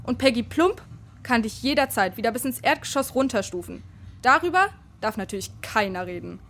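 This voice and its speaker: A woman's voice: a little high, expressive, brisk, fairly bright tone. A woman playing an eager schoolgirl double agent in a children's radio play, earnest and insistent.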